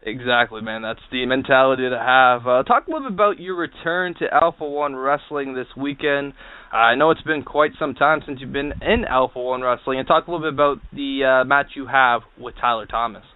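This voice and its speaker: A man's voice: medium pitch, steady tone, a little fast, no echo. A man hosting a wrestling show and interviewing a guest, with a narrow phone-like sound.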